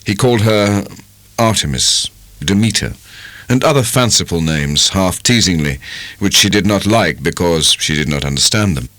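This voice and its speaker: British male audiobook narrator, low register, lively intonation, dramatic and measured delivery of classic prose. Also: no echo. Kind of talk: dramatic scripted literary narration.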